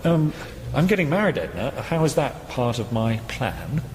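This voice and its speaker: A man's voice: medium pitch, sing-song, moderate pace, darkish tone, a little hiss or hum, natural voice. A man playing an impatient, scheming bridegroom who feigns innocence, incredulous and placating, in a comic radio drama. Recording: echoey hall with music behind.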